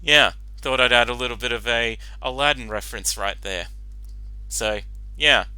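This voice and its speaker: Male casual commentator, mid pitch, very forward bright tone, rambling and hesitant, music faintly behind. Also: normal speed, clear, resonant tone, no room sound, poor audio. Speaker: reaction commentator.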